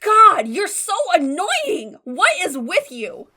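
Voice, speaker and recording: A woman's voice: extremely high pitch, wildly swooping pitch, slow, sharp tone, full character voice. A woman playing an angry, scornful teenage schoolgirl in a fan audio drama, snapping with irritated contempt. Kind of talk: fan audio drama, anime game adaptation.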